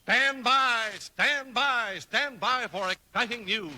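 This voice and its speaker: Bright, high-pitched man announcing on vintage radio. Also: slow. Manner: emphatic, excited salesmanship.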